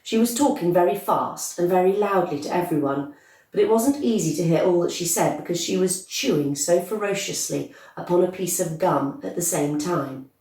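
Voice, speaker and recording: A woman reading a children's story aloud in an expressive, measured, emphatic narrating style. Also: on the low side. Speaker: story reader. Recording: echoey room, distant microphone.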